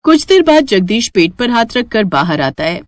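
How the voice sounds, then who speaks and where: A woman narrating a scripted animated moral story. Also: mid-range voice, normal speed, dry recording, clean studio sound.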